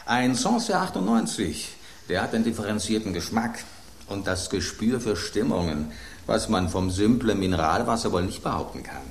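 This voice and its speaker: Low, grumbling jealous old-fashioned bread loaf. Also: male voice, expressive, normal speed, gravelly, echoey room, slight background noise. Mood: mocking. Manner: sardonic, indignant, declamatory. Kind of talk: German radio play acting.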